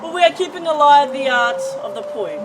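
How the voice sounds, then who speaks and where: A New woman demonstrates a traditional craft and explains it to visitors. She often checks in with "okay?" Her high voice comes from a distance, and loud noise runs underneath. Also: expressive, normal speed. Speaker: cultural performer and guide.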